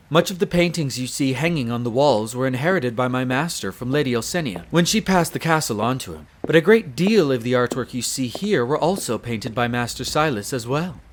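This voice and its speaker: A man's voice: mid-range voice, expressive, moderate pace, few pauses, a little hiss or hum, natural voice. A man playing a courteous, devoted head servant of a vampire castle in a roleplay audio drama, speaking with formal, polite diction. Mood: polite, attentive. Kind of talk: scripted roleplay audio drama, addressing the listener.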